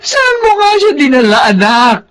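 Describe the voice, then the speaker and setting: A woman's voice: high voice, sing-song, moderate pace, a bit bright. A woman playing an emotional, worried older mother and grandmother in a radio melodrama. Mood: distressed, reproachful. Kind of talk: Filipino radio melodrama acting.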